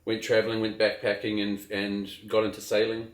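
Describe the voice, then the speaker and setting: A middle-aged man speaks as an interview guest, low in pitch and unhurried, stopping and restarting his thoughts with plenty of fillers. The sound is roomy and echoey. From New Zealand. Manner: relaxed, hesitant, many fillers and restarts. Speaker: guest, sailing captain. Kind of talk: casual interview chat. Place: outdoors on a trip.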